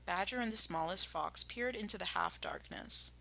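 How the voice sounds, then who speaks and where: A woman's voice: medium pitch, lively intonation, moderate pace, creaky, pushed and bright. A woman primary school teacher reading a children's story expressively and addressing her pupils. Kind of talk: expressive children's storybook read-aloud and lesson.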